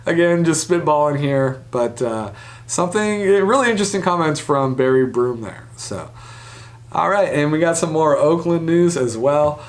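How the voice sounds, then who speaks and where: Higher-voiced man talking sports. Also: lively intonation, moderate pace. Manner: conversational, speculative, hedging asides. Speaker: sports podcast host. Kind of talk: casual sports news commentary.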